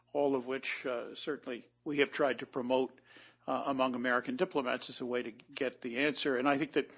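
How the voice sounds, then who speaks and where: A man on a panel answering questions in a measured way, with many pauses. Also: medium pitch, a bit bright.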